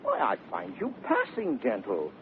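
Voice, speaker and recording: An actor playing a brash, teasing gentleman suitor in 1930s radio Shakespeare, declaiming with mock sweetness. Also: on the low side, huge pitch swings, unhurried. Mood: mock-tender. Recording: tinny, noisy 1930s radio recording.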